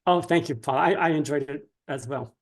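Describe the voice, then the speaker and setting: A man giving informal, instructional answers as an interview guest, explaining things in a hesitant, measured way. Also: fairly high pitch. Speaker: guest writer being interviewed.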